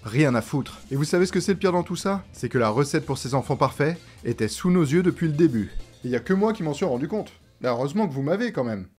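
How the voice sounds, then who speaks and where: A man's voice: a little high, animated, fast talker, a little mellow, soft background music. A man presenting a scripted comedic video essay in a sarcastic, informal way, as a YouTuber.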